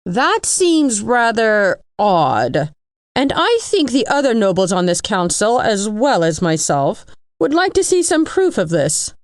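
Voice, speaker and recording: Lady doing a sharp assertive army general, formal and doubting, very lively intonation, dry sound, audio drama. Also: mid-range voice, takes it slowly, dry recording. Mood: skeptical. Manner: pointed, challenging, formal. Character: female major general, shrewd, skeptical, assertive council member. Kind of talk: scripted audio drama acting.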